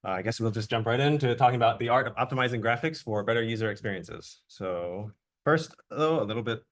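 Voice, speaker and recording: A young man from Jamaica giving a relaxed, conversational academic seminar talk as a guest researcher. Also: medium pitch, fast. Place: lecture room.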